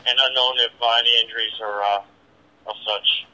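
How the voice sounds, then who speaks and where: A man's voice: mid-range voice, fairly even intonation, moderate pace, bright, forceful tone. A man working as a police officer, talking in radio traffic over a muffled two-way scanner transmission.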